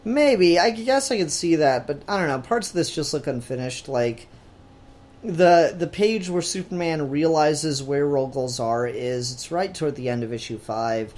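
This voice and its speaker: A man's voice: fairly high pitch, animated, moderate pace, darkish tone. A man talking casually as a podcast co-host in a review discussion, in a hesitant, hedging, conversational way.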